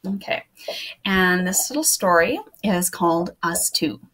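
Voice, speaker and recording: A woman's voice: fairly low pitch, lively intonation, measured pace, a bit bright. A woman in her thirties chatting to young listeners and family and reading children's poems aloud as a storyteller. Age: 30s. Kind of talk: casual introduction and read-aloud of children's poems.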